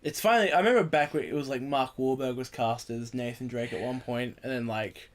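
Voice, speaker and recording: A man's voice: medium pitch, a little fast. A man hosting an informal group chat on a podcast, often restating his points for emphasis. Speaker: podcast host.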